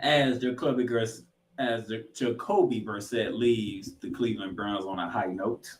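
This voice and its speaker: A man's voice: on the low side, steady tone, unhurried, a little hiss or hum. A man hosting a sports podcast, giving emphatic, opinionated commentary and game recaps. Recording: roomy, echoing voice pickup.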